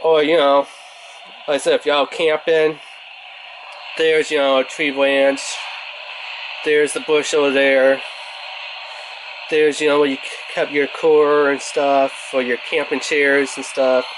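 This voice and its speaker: A man's voice: fairly high pitch, level tone, a little slow, pauses often, background noise. A man giving a casual product review in an informal, rambling way, thinking aloud as he goes.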